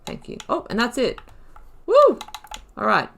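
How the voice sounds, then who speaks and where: A woman's voice: on the high side, wildly swooping pitch, a little slow, creaky, fairly bright tone, a little hiss or hum. A woman giving casual, reflective game commentary, chatty and rambling as she thinks aloud, repeating and stressing words. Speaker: host of a gaming playthrough series.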